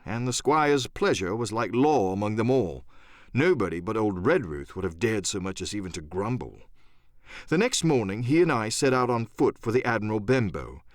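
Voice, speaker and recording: A man narrating a first-person adventure story as a dramatic audiobook, expressive and theatrical. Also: mid-range voice, dry recording, studio quality.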